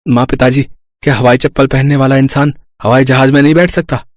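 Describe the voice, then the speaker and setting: A man's voice: mid-range voice, fairly even intonation, fairly quick, lightly acted. A man voicing an earnest, determined young village vegetable seller in a cartoon story, speaking in resolute declarations.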